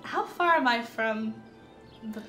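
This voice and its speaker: A woman's voice: fairly high pitch, animated, takes it slowly, a bit bright. A young woman playing a tabletop roleplaying game, chatting casually and playfully as she improvises her character. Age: young adult. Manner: informal, playful, frequent "like". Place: indoor studio, seated at a shared table. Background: background music.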